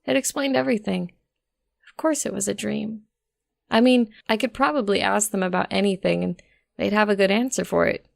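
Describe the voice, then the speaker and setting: Woman acting as wry young mare, slightly creaky. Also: medium pitch, fairly even intonation, fairly quick, no echo, clean studio sound. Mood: puzzled, wry. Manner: conversational, wry, informal. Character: young mare narrator, shaken, stubborn and sarcastic. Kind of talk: first-person dramatic story narration.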